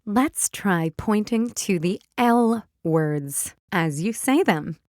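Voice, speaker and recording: A woman hosting a children's read-aloud, narrating and teaching early reading in simple, deliberate wording. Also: medium pitch, lively intonation, dry recording, studio quality. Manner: simple, deliberate wording, instructing young readers. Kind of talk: slow phonics storybook read-aloud for young children.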